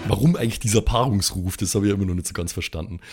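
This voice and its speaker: A man's voice: fairly low pitch, rapid-fire, breathy edge. A man chatting casually as a player in an improvised tabletop role-play. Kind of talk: casual improvised game chat. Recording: music behind the voice. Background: background music.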